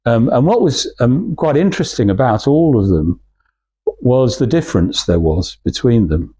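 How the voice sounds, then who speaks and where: A man's voice: mid-range voice, very animated, normal speed, warm tone, slightly rough. Hesitant man giving an informal talk. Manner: hesitant, rambling, anecdotal, restarting phrases. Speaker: guest speaker at a club talk. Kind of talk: informal talk to a small audience.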